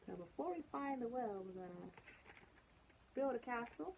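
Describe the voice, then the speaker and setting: A woman's voice: fairly high pitch, animated, normal speed, gravelly, darkish tone, a little hiss or hum, rough recording. A woman reading a children's picture book aloud casually, chatting to young listeners with questions and asides. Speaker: storybook reader.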